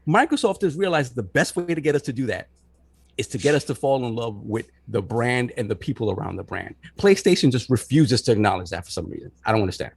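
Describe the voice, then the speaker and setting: A man's voice: mid-range voice, expressive, fast talker, gravelly, a little mellow, breathy edge, no echo. A man hosting a casual gaming podcast, making emphatic points with repeated words and tag questions. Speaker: podcast host.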